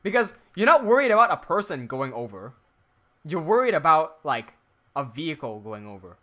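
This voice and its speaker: A man's voice: fairly high pitch, very animated, normal speed, a bit bright. A young man from the Philippines with an Americanized Filipino accent, chatting casually and rambling while building on a livestream. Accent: American-sounding Filipino. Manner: laid-back, rambling, swears, laughs often. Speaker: streamer and builder.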